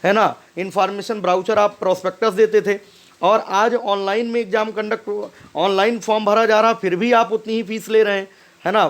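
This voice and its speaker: A man giving informal commentary as a host, insistent and admonishing, often tagging his points with short check-in questions. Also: high-pitched. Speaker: host commenting on a recorded phone call.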